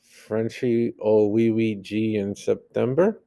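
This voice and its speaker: A man's voice: lowish voice, fairly even intonation, slow pace, creaky, warm tone. A man doing a hesitant, nervous seventh-grade boy. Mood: nervous bluffing. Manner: hesitant, mumbling.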